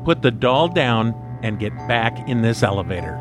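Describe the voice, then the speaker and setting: An actor playing the exasperated, sensible one in a group of friends, dry and scolding, in an audio drama. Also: male voice, mid-range voice, big pitch swings, normal speed, music in the background, lightly acted.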